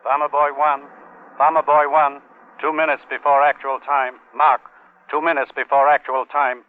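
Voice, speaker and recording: An actor playing a clipped, impersonal military announcer calling an atomic test countdown in a 1950s radio drama. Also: male voice, a little high, little pitch movement, moderate pace, lightly acted. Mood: stern, procedural. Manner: clipped, formal, repeating commands. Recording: muffled old radio broadcast recording.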